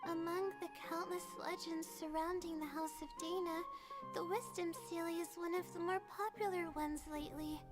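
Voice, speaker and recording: A woman's voice: very high voice, steady tone, moderate pace. A woman voicing a timid, anxious young student in a video game, speaking politely and hesitantly. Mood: anxious, hopeful. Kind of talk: video game character dialogue. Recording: game music behind the voice.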